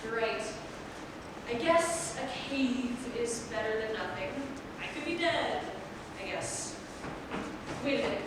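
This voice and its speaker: A woman's voice: a little high, expressive, measured pace, long pauses, background noise. A woman playing a bitter, sarcastic exile who feels wronged, in a staged fairy tale play reading. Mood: sarcastic, aggrieved. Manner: sarcastic, indignant. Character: bitter, wronged young exile hiding in a cave. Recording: very echoey hall, far off, music behind.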